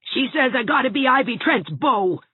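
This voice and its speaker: A woman's voice: sits high, a little slow, no echo, voice pushed up. A woman reading an audiobook, voicing a sulky, defiant little boy who snarls and mutters. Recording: dry tinny phone-like audio.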